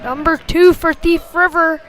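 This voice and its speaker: A woman calling a youth hockey game live in short, clipped phrases, getting excitable on big plays. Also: very high-pitched, measured pace, slight background noise. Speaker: play-by-play hockey commentator. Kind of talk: live sports commentary.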